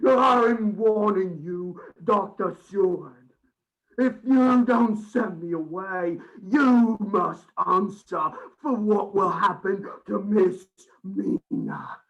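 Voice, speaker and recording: A man's voice: sits high, slow, fairly bright tone, lo-fi sound, full character voice. An actor in a radio play voicing a frantic madman, an asylum patient who pleads agitatedly with his master. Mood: desperate warning.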